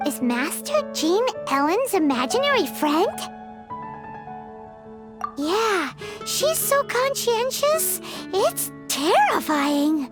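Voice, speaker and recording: Very high squeaky little fairy, naive, cheerful, wildly swooping delivery, game music behind. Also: female voice, very animated, takes it slowly, heavily acted. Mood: uneasy. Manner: refers to itself in third person, squeaky. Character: tiny childlike fairy companion, cheerful and naive. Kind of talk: video game voice acting.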